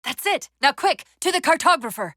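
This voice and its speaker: A female AI companion from a military sci-fi game, speaks in a high, very lively voice. She gives tactical callouts crisply and urgently, with dry wit under the commands. The sound is close and dry. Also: fairly quick, no room sound. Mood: brisk, commanding. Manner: crisp, technical, commanding, dryly wry. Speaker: video game voice actor.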